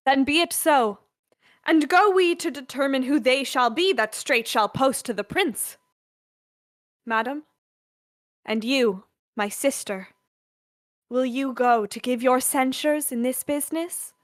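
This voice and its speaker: A woman playing a sly, ingratiating royal duke who feigns humility in a Shakespearean radio play, speaking formally and deliberately. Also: sits high. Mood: smooth.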